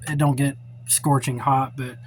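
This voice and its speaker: A man's voice: medium pitch, level tone, a little slow, a little hiss or hum. A man giving an informal hands-on technical walkthrough as a tutorial presenter, talking in a folksy, blunt and opinionated way. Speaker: presenter of a radio repair tutorial.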